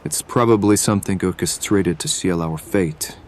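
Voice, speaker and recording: A man's voice: sits low, moderate pace, lightly acted. A man acting a bitter, accusing, distrustful hireling in an audio drama.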